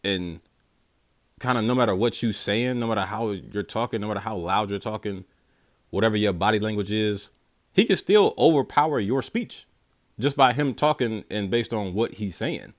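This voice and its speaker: A man's voice: fairly low pitch, animated, moderate pace, no room sound, clean studio sound. A man hosting a reaction video, chatting casually with slang and stammered restarts. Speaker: reaction channel host.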